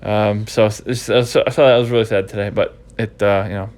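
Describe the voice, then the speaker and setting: A man's voice: on the low side, steady tone, a little fast, darkish tone. A stuffy, congested man hosting a casual podcast recap, rambling and repeating himself as he thinks. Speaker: podcast host.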